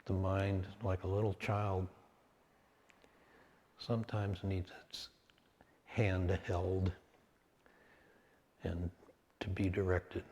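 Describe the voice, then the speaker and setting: A man leading a guided meditation, speaking calmly and in measured short phrases with long pauses between them. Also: sits low, highs cut off.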